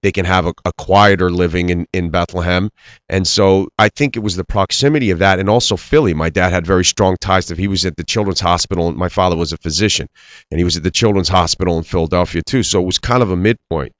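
A man's voice: low voice, fast, fairly bright tone, dry recording, highs cut off. A middle-aged man from Iran, raised in Pennsylvania, talking earnestly in an interview with many restarts. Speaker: actor guest. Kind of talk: interview conversation.

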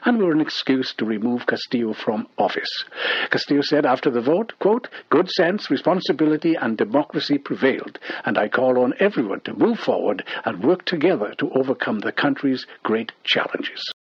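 A man's voice: mid-range voice, very animated, moderate pace, husky. A man reading a scripted news bulletin as a radio newsreader, over dull shortwave radio.

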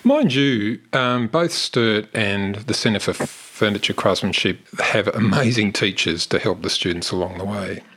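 A man hosting an interview in a relaxed, casual way, giving short encouraging responses. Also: lowish voice, unhurried, few pauses, husky. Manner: relaxed, brief backchannels. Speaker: podcast host.

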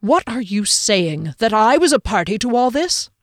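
A woman's voice: a little high, very animated, normal speed, no echo, clean studio sound, pitched above the performer's own voice. A woman reading the part of an earnest, defensive male soldier in a fantasy storybook. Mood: affronted. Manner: earnest, pleading.

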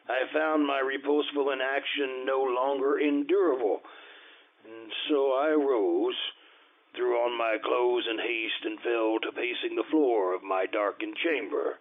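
A man playing an anxious, kindly gentleman who narrates a Gothic horror tale in formal, dramatic old-time radio style. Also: mid-range voice, close to an everyday voice. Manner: formal, dramatic, deliberate, with nervous stammers. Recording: noisy, phone-like old-time radio sound.

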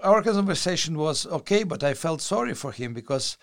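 Creaky-voiced man, hesitant and deliberate. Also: on the high side, expressive. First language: Russian. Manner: hesitant, deliberate phrasing with repeated words. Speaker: writer being interviewed. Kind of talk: conversational interview answers.

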